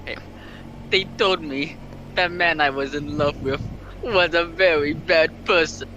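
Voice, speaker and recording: Casting: man, very sharp bright tone, dramatic amateur story reader, slight background noise. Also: on the high side, fairly even intonation, unhurried, lo-fi sound. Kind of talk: dramatic story reading with joking asides.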